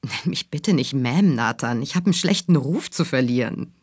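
A woman's voice: extremely low pitch, expressive, moderate pace, a little mellow, slightly rough, dry recording, studio quality, full character voice. A woman playing a sassy, teasing, probing radio talk-show host in a German radio drama. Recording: music behind the voice.

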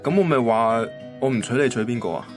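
An actor playing an earnest, devoted young boyfriend in a Hong Kong Cantonese radio drama, speaking colloquially and sounding quietly vulnerable. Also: male voice, mid-range voice, fairly quick, lightly acted. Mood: puzzled, frustrated. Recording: music bed under the voice.

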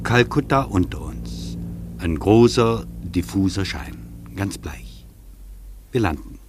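An actor playing an observant air traveller in a German radio play, giving his impressions in measured, reflective phrases. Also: low-pitched, over music. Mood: pensive, watchful. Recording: steady engine-like hum in the background.

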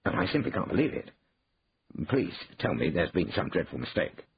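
A man's voice: deep voice, big pitch swings, normal speed, breathy edge, lo-fi sound, heavily acted. A man voicing an anxious, tight-fisted shopkeeper in an audiobook, flustered, incredulous and evasive.

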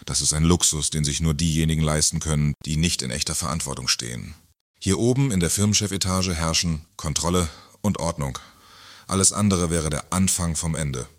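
An actor playing a pompous, irritable, controlling company director in a satirical radio play. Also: male voice, a bass voice, brisk. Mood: smug, imperious. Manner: pompous, self-important. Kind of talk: German radio play acting.

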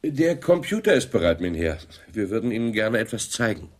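An actor playing a deferential, methodical assistant who explains things politely in a 1980s radio crime play. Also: male voice, fairly low pitch, sing-song, a little fast. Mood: polite, businesslike. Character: deferential, methodical assistant presenting computer findings.

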